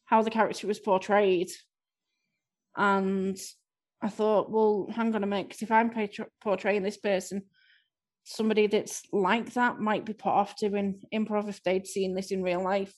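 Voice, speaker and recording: A woman's voice: mid-range voice, little pitch movement, normal speed, a little mellow. A woman from near Liverpool with a light Scouse twang, a guest telling candid stories in a casual chat. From near Liverpool, North West England. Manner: candid, chatty storyteller. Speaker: improv performer, guest. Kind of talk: casual conversation.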